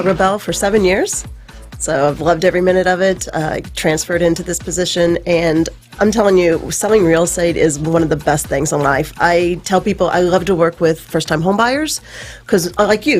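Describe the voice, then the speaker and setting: A woman's voice: lowish voice, normal speed, darkish tone, faint music behind. A woman chatting as an interview guest on the radio, enthusiastic and playful. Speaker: real estate agent, studio guest.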